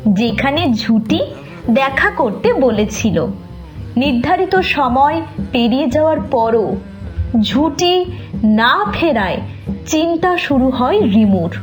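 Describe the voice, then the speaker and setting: A woman narrating a scripted children's story. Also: high voice.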